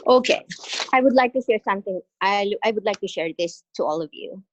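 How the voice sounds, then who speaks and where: A woman from the Philippines with a Filipino accent, an exchange teacher giving an earnest, encouraging talk in an online meeting, pausing often. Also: medium pitch, muffled recording, a little hiss or hum. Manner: earnest and encouraging, with frequent pauses and fillers. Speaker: exchange teacher sharing her experience. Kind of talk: motivational presentation in an online meeting. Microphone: video call.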